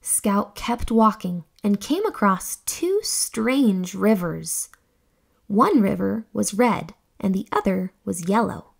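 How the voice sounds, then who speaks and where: A young woman hosting a children's read-aloud, reading a storybook in an expressive, deliberate, exclamatory way. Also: mid-range voice, gravelly, husky.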